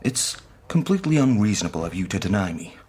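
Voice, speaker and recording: A man playing an earnest young gentleman working as a shop clerk in a radio mystery, polite and boyish, with a husky voice. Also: fairly low pitch, very animated, fast talker. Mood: earnest, indignant. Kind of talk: period radio mystery drama acting.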